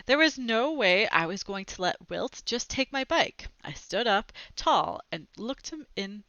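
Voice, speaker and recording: A young woman reads a children's storybook aloud in a bright, forward voice. Her delivery is expressive, with short explanatory asides. The headset recording is close and dry, slightly lo-fi. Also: medium pitch, measured pace, dry recording. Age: young adult. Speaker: read-aloud presenter. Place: small room at home, indoors. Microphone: headset boom mic. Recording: slightly dull, lo-fi headset sound.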